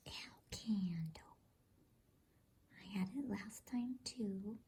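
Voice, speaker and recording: A woman whispering softly in a gentle, unhurried, hushed ASMR style as she hosts and reads a bedtime story. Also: medium pitch, a little hiss or hum. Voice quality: breathy, soft whisper. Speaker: host and reader of a bedtime story channel.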